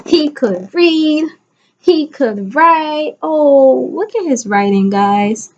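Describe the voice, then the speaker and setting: A woman kindergarten teacher giving an expressive read-aloud lesson to young children, coaxing listeners with questions and stressing key words. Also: sits high, drawn-out words, muffled recording.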